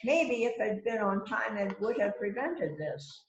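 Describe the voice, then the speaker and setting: A woman's voice: mid-range voice, sing-song, measured pace, dull audio. A woman playing an indignant, gossipy murder suspect in an amateur mystery reading, with theatrical exclamations. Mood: chatty. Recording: echoey online call.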